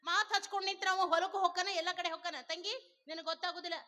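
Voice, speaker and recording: An actress playing a village mother who talks in a brisk, bossy way. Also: extremely high pitch, fairly even intonation, pushed and bright.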